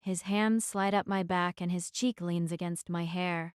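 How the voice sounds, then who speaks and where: A woman's voice: on the low side, fairly even intonation, unhurried, smooth, no room sound, clean studio sound, close to an everyday voice. A woman playing a defiant, brooding teenage girl who narrates her own story in an audio drama. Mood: somber. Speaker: narrator and lead actress.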